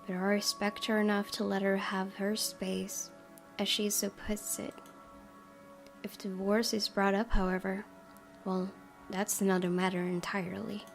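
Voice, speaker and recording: A woman game streamer reading a visual novel aloud as expressive narration. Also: medium pitch, measured pace, gravelly, faint music behind.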